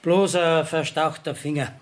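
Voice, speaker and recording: An actor playing a blunt, unfazed manservant with a Bavarian accent in a dialect radio play. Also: male voice, on the high side, fairly even intonation, unhurried, lightly acted. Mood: matter-of-fact. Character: blunt, unfazed manservant of a visiting court official.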